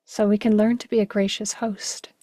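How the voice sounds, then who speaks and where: Hushed, mellow woman guiding meditation. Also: mid-range voice, level tone, no room sound. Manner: gentle, hushed, breathy delivery with long pauses. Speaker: guided meditation teacher. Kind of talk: guided meditation, slow and spacious.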